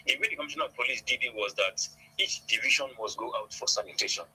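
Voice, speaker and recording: Bright, forceful Nigerian man, hesitant delivery. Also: lowish voice, fast talker, slight background noise, low-quality recording. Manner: hesitant, repeats and restarts phrases. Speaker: police public relations officer, radio guest. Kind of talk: radio interview answers, recounting events.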